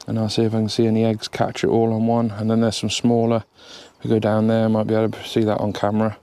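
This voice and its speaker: A man's voice: on the low side, quick pace, smooth. A man with an English accent, sniffly from hay fever, chatting casually outdoors as he inspects his beehive in a bee suit. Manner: relaxed, chatty, thinking aloud. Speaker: beekeeper. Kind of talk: casual narration while working. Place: outdoors at a hive in a grassy apiary. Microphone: camera near the hive, wearing a bee suit.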